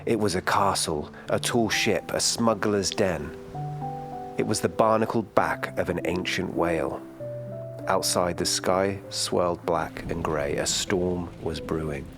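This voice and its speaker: A man reading a children's bedtime story in a calm, gentle storytelling manner. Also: sits low, a little slow, music in the background.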